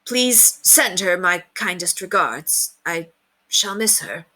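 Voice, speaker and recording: A woman's voice: fairly low pitch, animated, slow, sharp tone, heavily acted, low-quality recording. A woman voicing a noble, kindly old knight, hearty and commanding, in a storybook read-aloud. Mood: calm, kindly. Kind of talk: storybook character reading.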